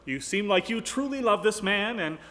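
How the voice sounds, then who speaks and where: A man's voice: high voice, expressive, normal speed, a bit bright. A man playing a plainspoken, good-hearted, hesitant farmhand in a comic radio play. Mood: wistful. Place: live on stage in a ballroom. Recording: echoey live hall.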